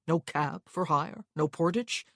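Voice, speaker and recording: A woman voicing an impatient, short-tempered gentleman traveller in an audiobook, curt and insistent. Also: deep voice, measured pace, no echo, clean studio sound, full character voice. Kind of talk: acted audiobook dialogue.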